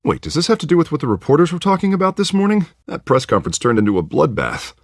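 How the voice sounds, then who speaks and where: An American man in his thirties playing an earnest, excitable district attorney and loyal friend in a video game, emphatic and colloquial. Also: a little high, quick pace, gravelly, no echo, clean studio sound, heavily acted. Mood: troubled.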